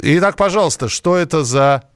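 A man's voice: a little high, expressive, moderate pace, smooth. A man co-hosting a live radio quiz in a chatty way, carefully reading out numbers digit by digit. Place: close dry setup for a home radio broadcast.

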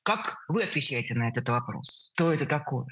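A woman's voice: very deep voice, lively intonation, brisk, a bit bright, husky. A woman hosting a podcast who asks probing questions, hesitating and searching for her words. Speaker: podcast host. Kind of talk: interview questions.

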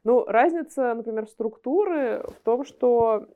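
A woman's voice: a little high, measured pace, phone-quality sound. A woman explaining her work informally as an interview guest, wordy and often rephrasing as she goes. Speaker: animation screenwriter and script editor, interview guest.

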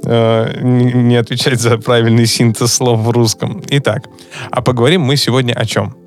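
A man's voice: mid-range voice, little pitch movement, moderate pace, faint music behind. A man speaking Russian as a second language, co-hosting a casual podcast chat, joking and hesitant with many fillers and restarts. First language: not Russian. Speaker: podcast co-host, psychologist.

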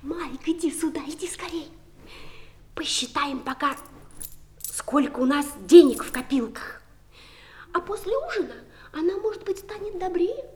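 An eager little girl in a children's radio play. Her voice is high and swoops with animation, coaxing and a little bossy like a big sister. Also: lively intonation, unhurried, long pauses, a little mellow. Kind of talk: 1960s children's audio play acting.

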